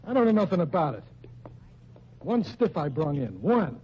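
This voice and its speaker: High voiced tough mobster, slangy n touchy, old muffled radio crime show. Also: male voice, sing-song, normal speed, a little mellow, a little hiss or hum. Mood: indignant denial. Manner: blunt, slangy, indignant tough-guy talk. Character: gruff, defensive male gangster nightclub boss. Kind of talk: old-time radio drama acting.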